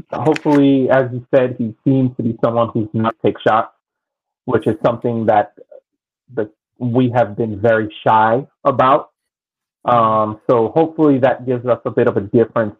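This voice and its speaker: A man giving his views as a panelist on a casual sports podcast, over a thin call connection. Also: mid-range voice. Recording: thin phone-like call audio.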